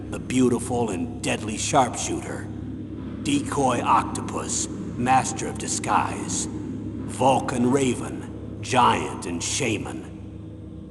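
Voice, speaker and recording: Gravelly, commanding aging colonel. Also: male voice, heavily acted. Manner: measured, authoritative briefing delivery. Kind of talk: dramatic video game voice acting. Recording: echoing hall, vehicle rumble noise.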